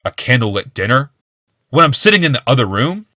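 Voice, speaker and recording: A man's voice: mid-range voice, very animated, brisk, sharp tone, dry recording, studio quality, voice pushed up. A man voicing a bitter, paranoid, ailing male genius in an audiobook, growling and hissing with wrath. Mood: furious.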